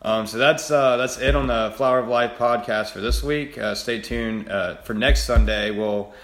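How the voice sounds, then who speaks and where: A man's voice: mid-range voice, moderate pace, a bit bright. A young man hosting a podcast in an informal, easygoing, loosely phrased chat. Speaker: podcast host. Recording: echoey room.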